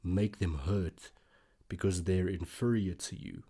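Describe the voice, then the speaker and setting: A man's voice: low voice, steady tone, measured pace, dark tone, husky. A man, an Afrikaans speaker, hosting a casual reaction video and thinking aloud with lots of fillers.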